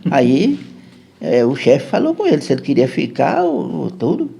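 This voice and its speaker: A man's voice: fairly high pitch, wildly swooping pitch, moderate pace, vocal fry, warm tone, breathy edge. A man with a Brazilian Portuguese accent, a podcast guest telling a long, rambling story and often checking in with his listener. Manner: chatty, keeps checking in with "né?".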